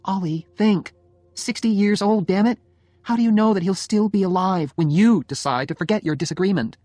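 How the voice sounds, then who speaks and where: A man's voice: sits high, normal speed, mellow, no room sound, pitched above the performer's own voice, close to an everyday voice. A man voicing a young wife in an audiobook story, hurt and exasperated, pleading with emphatic emotion. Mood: exasperated urging.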